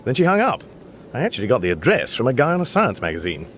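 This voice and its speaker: A man's voice: medium pitch, huge pitch swings, quick pace, fairly bright tone. Bemused mild Englishman, English accent, very swooping intonation, hesitant, apologetic, radio comedy, faint background noise. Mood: bemused, rueful. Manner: hesitant, bemused, dryly understated. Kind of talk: radio comedy drama acting.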